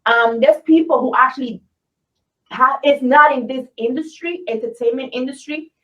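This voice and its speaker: A woman's voice: high-pitched, moderate pace, fairly bright tone. A woman with a Spanish accent, an actress and model chatting candidly as a guest. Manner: candid, laughing, code-switches into Spanish. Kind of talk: casual interview chat. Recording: distant mic, muffled lo-fi sound.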